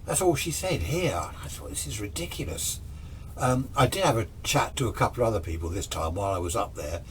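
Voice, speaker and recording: A man shares a personal anecdote off the cuff. He rambles conversationally and quotes both sides of remembered exchanges. There is some steady noise behind him. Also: on the low side, a little fast. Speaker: storyteller recounting personal radio anecdotes. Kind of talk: casual unscripted spoken storytelling.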